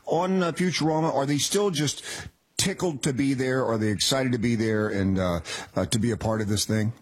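A man's voice: mid-range voice, normal speed, slightly rough. An American man hosting a radio interview in a casual, chatty, admiring and rambling way.

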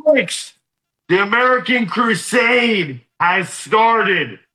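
A man's voice: high-pitched, animated, very slow, pushed and bright, reverberant. A man appearing as a guest activist in an interview, speaking in a fiery, declamatory way.

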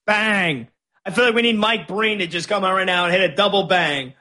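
A man hosting a sports radio show, taking calls and talking in a hyped way with shouted bursts. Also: sits high, fairly quick.

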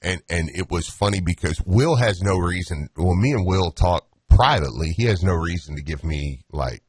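Low, husky male host, excitable casual sports chatter, dry sound. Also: moderate pace, no echo. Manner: excitable, stammering restarts.

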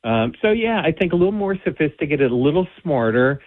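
A man's voice: on the high side, big pitch swings, brisk, no echo. A man calling into a radio show who shares his opinions confidently. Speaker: radio caller. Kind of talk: casual phone-in opinion. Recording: phone line.

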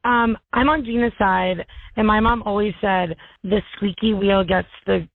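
A woman with a low raspy voice leaving a brash, profane, rambling voicemail as a caller. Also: steady tone, a little slow. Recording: tinny phone voicemail.

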